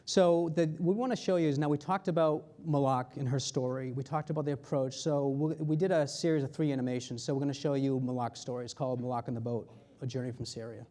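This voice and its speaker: A man with a dark, mellow voice at a middling pitch gives an informal conference talk. He speaks conversationally as an executive, repeating phrases for emphasis. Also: steady tone, fast talker.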